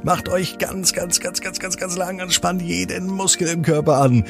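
A man hosting a children's podcast, narrating bedtime stories in a gentle, deliberate manner and addressing children directly. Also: sits high, steady tone, gravelly, over music.

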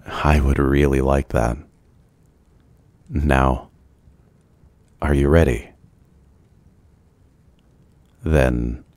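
Very deep, brooding male vampire played by a man. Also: dry recording, exaggerated voice. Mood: tender, shy. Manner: hesitant, gentle, old-fashioned courtly phrasing. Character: brooding, lonely, tender male vampire, reluctant and devoted. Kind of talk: ASMR roleplay acting.

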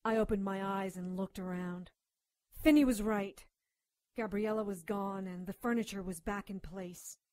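A woman's voice: mid-range voice, fairly even intonation, moderate pace, a little mellow, no echo, close to an everyday voice. A woman playing a feisty, outspoken doctor who also narrates her supernatural case in a radio drama. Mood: narrating. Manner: defiant, outspoken. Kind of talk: radio drama acting with first-person narration.

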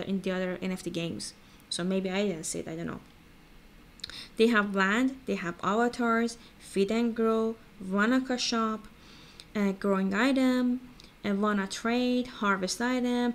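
A woman with a fairly high voice talks through a screen share in an informal vlog style. She rambles hesitantly as she comments, and there is noticeable background noise on the recording. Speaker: crypto vlogger.

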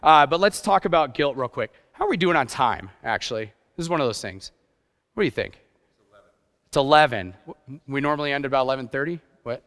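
A man's voice: medium pitch, expressive, fast talker, fairly bright tone. A middle-aged man giving an informal sermon in a self-deprecating, conversational way with rhetorical questions. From grew up partly in Japan. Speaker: guest preacher, youth pastor. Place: church stage, indoors. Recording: reverberant hall sound.

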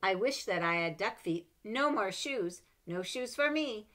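A middle-aged woman reading a children's picture book aloud at home, expressive and animated, with playful asides between pages, recorded on a webcam. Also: mid-range voice, a little slow. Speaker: storybook reader. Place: home room with bookshelves. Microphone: webcam at desk.

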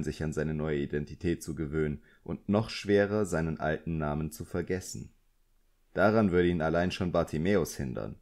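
A man's voice: low-pitched, darkish tone. A man reading literary fiction as an audiobook narrator, in scripted narration.

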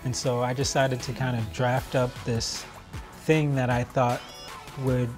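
A man's voice: medium pitch, level tone, unhurried. A man in his thirties talking casually and enthusiastically as an inventor presenting his product in a promotional interview. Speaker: product inventor, mechanical engineer. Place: large open office, indoors. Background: background music.

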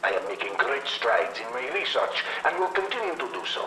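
A man's voice: mid-range voice, sing-song, fairly quick, close to an everyday voice. An actor playing a proud Soviet research scientist, formally presenting his experiments in a 1950s archival film. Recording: old film soundtrack, roomy, distant, faint noise.

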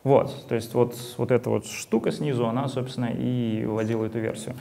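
A man's voice: medium pitch, steady tone, brisk, creaky, warm tone. A young man giving a casual talk with a live demo at a meetup, speaking into a handheld mic and rambling with many fillers and restarts. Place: meetup hall.

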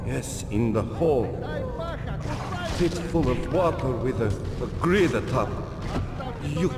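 A weary Russian ex-guard in a video game, talking slowly in halting, plainspoken English. His pitch swings widely and his voice rings with long reverb over music. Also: noisy background. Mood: grim, warning. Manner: slow, halting, broken English. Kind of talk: video game cutscene acting.

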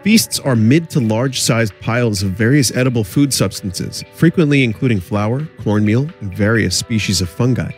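A man's voice: medium pitch, animated, moderate pace, few pauses, soft background music. A man doing live game commentary as a streamer, reading in-game text aloud.

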